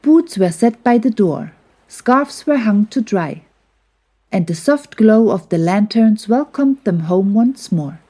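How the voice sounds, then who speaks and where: A woman reads a children's bedtime story in a gentle, unhurried way. Her voice is fairly high and mellow with a soft husk to it, and her delivery is soothing and tender. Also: lively intonation, husky.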